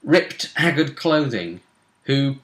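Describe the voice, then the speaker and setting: A man co-hosting a casual recap of a tabletop role-playing game, telling it haltingly with dramatic emphasis. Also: mid-range voice, big pitch swings.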